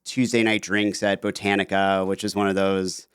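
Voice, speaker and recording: Chatty man with a low, smooth voice. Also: level tone, moderate pace, no echo, studio quality. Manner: chatty, lots of "like" and "you know". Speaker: podcast guest, author. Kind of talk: casual interview chat.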